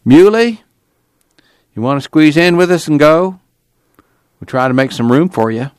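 A man's voice: a little high, moderate pace, clear, resonant tone, dry recording, studio quality, pitched above the performer's own voice, natural voice. A man voicing an anxious farm father in an audiobook, urgent and exasperated, speaking in folksy rural dialect. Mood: brisk.

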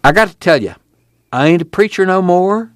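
Man acting former preacher, rural American accent, humble, nervous, halting, higher pitch, storybook character. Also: very animated, no room sound, studio quality, close to an everyday voice. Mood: nervous, earnest.

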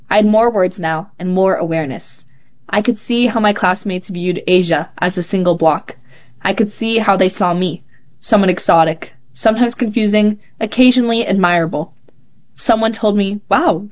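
A woman hosting a show, reading young writers' poems and essays aloud in a calm, measured way. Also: mid-range voice. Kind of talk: measured read-aloud narration. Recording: slightly muffled, dull sound.

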